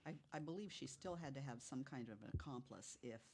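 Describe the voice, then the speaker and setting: A woman's voice: rumbling low, lively intonation, a little fast, darkish tone, a little hiss or hum. A woman taking part casually in a podcast discussion as a panelist.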